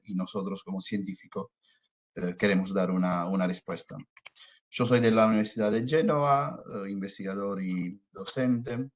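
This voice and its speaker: A man from southern Italy speaking Spanish with an Italian accent, giving a hesitant conference talk over a video call. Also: on the low side. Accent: Italian, from Salerno, speaking Spanish with Argentine touches. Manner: hesitant, many fillers and restarts. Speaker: researcher and lecturer presenting.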